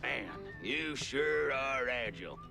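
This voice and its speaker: A man voicing a dim-witted, good-natured cartoon mouse goon for a game, gravelly and husky, rambling as he gropes for words. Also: medium pitch, animated, slow, loud music behind the voice. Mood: impressed, muddled. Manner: rambling, groping for words, colloquial. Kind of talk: cartoon video game acting.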